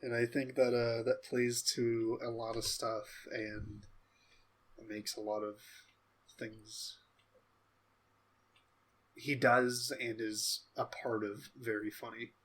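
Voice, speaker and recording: Slow-talking man in casual podcast chat. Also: mid-range voice, level tone, fairly bright tone, slightly rough. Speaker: podcast co-host. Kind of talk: casual pop culture podcast discussion.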